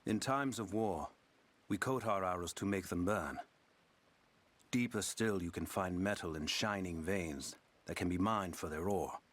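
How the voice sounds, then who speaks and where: Stoic survivor lore-keeper, deep voice, grave, measured, video game document narration. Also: male voice, a bit bright. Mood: grave, instructive. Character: stoic male survivor teaching his people's lore.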